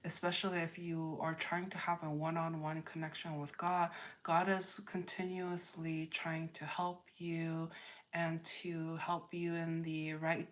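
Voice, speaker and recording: Slow, low-voiced woman thinking aloud. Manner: halting, thinking aloud, repeating phrases. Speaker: tarot reader, livestream host. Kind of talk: spontaneous spiritual reading, chatting with live viewers.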